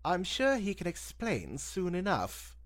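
A man voicing a calm, teasing monster peacemaker in an audio drama, in a placating manner. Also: sits high, measured pace, dry recording, clean studio sound, voice pushed up, exaggerated voice. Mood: soothing, teasing.

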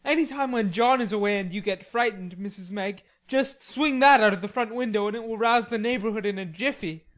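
A woman's voice: medium pitch, fairly quick, pitched above the performer's own voice, natural voice. Lady doing a jokey young gent in an audiobook, kinda theatrical and teasing, voice lifted up. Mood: teasing, jovial. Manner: playful, theatrical, exclamatory. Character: cheerful, teasing young gentleman, tall and melodramatic. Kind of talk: audiobook character dialogue.

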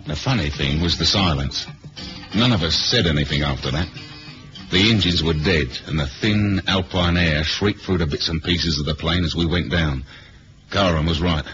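An actor playing a wry, wary spy hero who narrates a 1970s radio drama in a dry, understated way. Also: male voice, rumbling low, normal speed, music in the background. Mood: sombre narration. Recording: dull, hissy old radio recording.

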